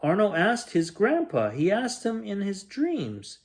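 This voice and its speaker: A man reading a rhyming picture book aloud as a bedtime story, in a gentle, expressive storytelling manner. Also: sits high, a little slow. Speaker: children's bedtime story reader.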